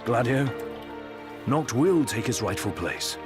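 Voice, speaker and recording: A man's voice: mid-range voice, expressive, takes it slowly, music in the background. An actor playing a stern, solemn man of authority in a fantasy video game, speaking in grave, formal pronouncements. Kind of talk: dramatic video game voice acting.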